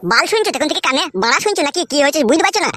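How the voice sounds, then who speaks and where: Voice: high-pitched, lively intonation, rapid-fire, pushed and bright, dry recording. An actor voicing a foul-mouthed, put-upon cartoon husband who whines and quarrels, with music behind. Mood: angry. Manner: crude, swearing, scolding. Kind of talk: comic Bengali cartoon dubbing, bickering.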